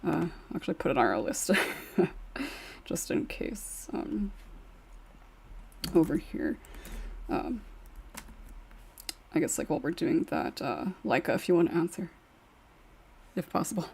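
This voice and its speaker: A woman with a slightly low voice hosts a relaxed panel chat. She talks informally and a little hesitantly, feeling her way through each point. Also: unhurried, gravelly, pauses often, breathy edge. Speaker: podcast host. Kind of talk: casual panel discussion.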